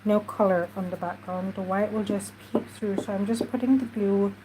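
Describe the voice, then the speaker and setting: A woman's voice: medium pitch, steady tone, warm tone, slight background noise, poor audio. A woman with a stuffy nose, chatting casually through a craft tutorial while she works. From Jamaica. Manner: chatty, unhurried, talks while working. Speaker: craft journaling host.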